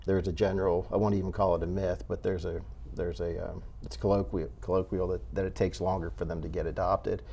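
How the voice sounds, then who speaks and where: Low-voiced, mellow older man. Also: little pitch movement, brisk, hardly any pauses. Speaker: cat rescue volunteer, interview guest. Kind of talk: unscripted interview talk. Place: indoor TV studio set. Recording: clear background noise.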